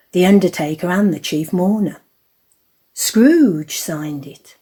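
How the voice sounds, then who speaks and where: A woman narrating an audio play in a deliberate, emphatic storytelling manner. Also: medium pitch, warm tone, slightly rough.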